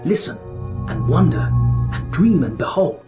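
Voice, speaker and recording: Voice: medium pitch, very animated, measured pace, loud music behind the voice. A narrator telling a children's fairy tale in a dramatic, wondering storytelling manner. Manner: wondering, suspenseful questioning.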